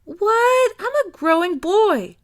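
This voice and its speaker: A woman's voice: very high voice, big pitch swings, slow pace, voice pushed up, exaggerated voice. A woman voicing a cheerful, goofy young man in an audiobook, playful and wheedling.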